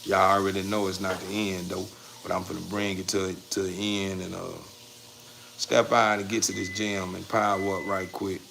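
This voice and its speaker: A man's voice: low voice, little pitch movement, moderate pace, vocal fry, fairly bright tone, a little hiss or hum. An American man hosting a casual livestream, rambling in a folksy, encouraging way with repeated catchphrases. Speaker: livestream host of a dog breeder channel.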